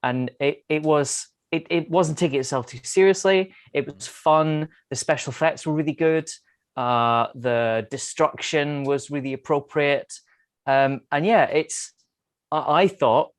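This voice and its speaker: A man talks casually as a podcast panelist, with a mid-pitched voice. He weighs his words and pauses between phrases. The recording is very dry, with hardly any room sound. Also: dry recording. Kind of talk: casual film discussion.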